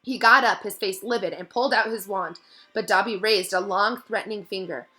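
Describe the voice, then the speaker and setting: A woman reading a storybook aloud as narrator, with expressive delivery. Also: mid-range voice, fairly quick, low-quality recording.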